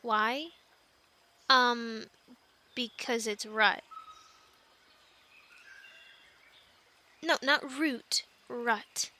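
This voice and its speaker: A woman playing a plucky young island castaway in an ASMR roleplay, chatting in an animated, halting, stammering way. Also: fairly high pitch, a little hiss or hum, exaggerated voice. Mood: explaining. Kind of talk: ASMR roleplay, conversational one-sided dialogue.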